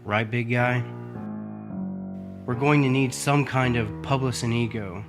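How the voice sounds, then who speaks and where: A man's voice: medium pitch, steady tone, a little slow, lightly acted. Radio drama heir, male actor: scheming, bitter, muttering darkly to himself, music underneath. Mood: cold, menacing. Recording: music behind the voice.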